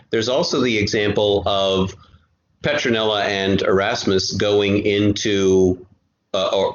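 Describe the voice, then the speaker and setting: Man, interview guest, lowish voice, roomy echo, explanatory, rephrasing often, casual. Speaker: audio drama creator guest. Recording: dull, roomy sound with faint noise.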